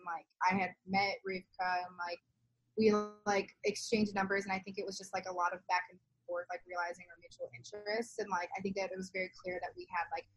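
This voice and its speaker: A woman's voice: medium pitch, fairly bright tone, slightly rough, no echo, rough recording. A young adult woman being interviewed, talking casually in a loose, meandering way peppered with "like". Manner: heavy use of "like", loose and meandering. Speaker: interview guest, zine co-founder.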